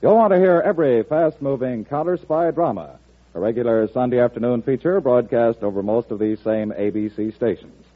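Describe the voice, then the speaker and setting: A man's voice: mid-range voice, a little fast. A man working as a radio announcer, reading promotional copy in a formal 1940s style. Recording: tinny old radio broadcast.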